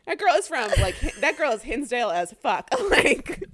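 A woman's voice: high-pitched, sing-song, normal speed, fairly bright tone. A young woman co-hosting a podcast, chatting casually and animatedly, swearing freely and acting out what people said. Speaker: podcast co-host. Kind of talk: casual chat recapping a reality show.

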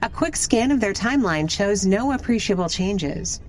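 A woman's voice: mid-range voice, animated, moderate pace, a little mellow, soft background music, natural voice. A woman playing a polite, analytical artificial intelligence in an audio drama, speaking formally and precisely. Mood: dry, matter-of-fact.

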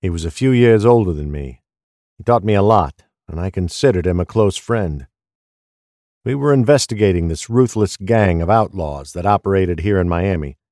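An actor playing a weary middle-aged police detective, a recovering alcoholic, confessing in a subdued, reflective way in an audio drama. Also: male voice, low voice, expressive, normal speed, a little mellow, no room sound, studio quality. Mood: weary, confessional.

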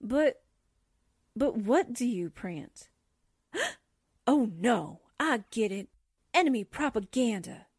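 An actress playing a jumpy, wisecracking American newspaperwoman sidekick in a 1940s radio drama, comically frightened with drawn-out exclamations. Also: on the high side, expressive, darkish tone, slightly rough, dry recording, studio quality, exaggerated voice. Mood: incredulous.

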